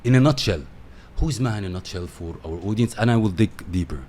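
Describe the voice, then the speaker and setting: A man's voice: lowish voice, expressive, fast talker. A man hosting a talk-show interview, effusive and given to repeating his praise. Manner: effusive, repeats himself warmly.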